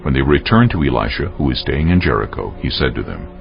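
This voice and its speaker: A man's voice: a bass voice, big pitch swings, quick pace, gravelly. A man narrating scripture as a solemn, scripted dramatized reading. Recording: muffled audio over faint music.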